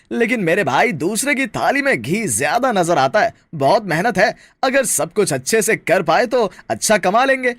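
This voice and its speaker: Man playing a frank shopkeeper, higher-pitched, animated. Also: dry recording, full character voice. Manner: frank, advising. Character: helpful, practical shopkeeper brother-in-law.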